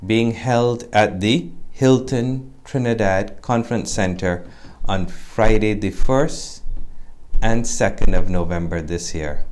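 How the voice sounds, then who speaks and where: A man's voice: fairly low pitch, a little mellow, slight background noise. A man from Barbados, formally welcoming listeners to a conference as its presenter. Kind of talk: formal announcement.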